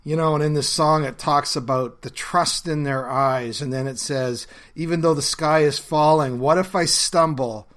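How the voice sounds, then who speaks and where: A man's voice: a little high, fairly even intonation, moderate pace, hardly any pauses, a bit bright, soft background music. Earnest man with a cold. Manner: earnest, conversational, with frequent uh fillers. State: has a bad cold, voice lower than normal. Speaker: podcast host and pastor. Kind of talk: reflective solo podcast talk.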